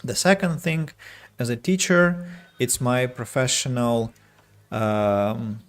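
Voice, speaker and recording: A young adult man from Russia with a Russian accent, streaming a strategy game and chatting with viewers, choosing his words slowly and carefully with frequent restarts. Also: medium pitch. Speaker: streamer and English teacher. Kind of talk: casual gameplay streaming chat.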